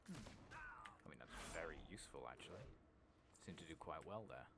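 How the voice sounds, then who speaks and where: A man with a deep voice full of fry streams a game in a soft ASMR style that slips into whispers. His pitch moves around a lot as he mutters to himself haltingly. Heavy noise, game ambience and clicks sit behind him. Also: animated. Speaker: gaming streamer. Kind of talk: soft-spoken ASMR gameplay commentary, partly whispered.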